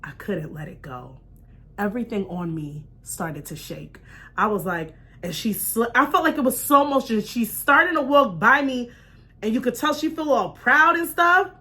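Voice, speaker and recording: A woman with a high, bright voice hosts her own talk show. She tells personal stories in an informal, chatty way, expressively acting out dialogue and checking in with listeners as she goes. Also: animated, moderate pace. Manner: punctuates points with check-in questions like "right?". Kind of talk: animated personal storytelling to an online audience.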